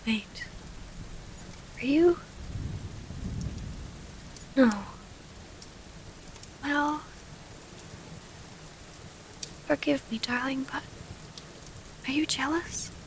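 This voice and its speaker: A loving vampire lady, acted by a woman for an intimate ASMR romance. Her voice is high and breathy, often near a whisper, with a heavy creak at the edges. She speaks in formal, old-fashioned phrases, wistful and gently exasperated, over falling rain and faint noise. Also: extremely slow, long pauses, heavily acted, low-quality recording. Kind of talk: ASMR romantic audio roleplay.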